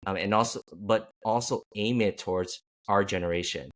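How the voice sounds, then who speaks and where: Deep-voiced man hosting a chat. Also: moderate pace, fairly bright tone. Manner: rambling, many restarts and fillers. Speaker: podcast host. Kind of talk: casual conversational interview.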